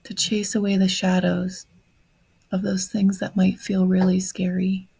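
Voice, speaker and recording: A woman leading a guided meditation in a soft, breathy voice, speaking gently in short phrases with long pauses. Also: mid-range voice, completely flat delivery, low-quality recording. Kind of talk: guided meditation on grief, slow and reflective.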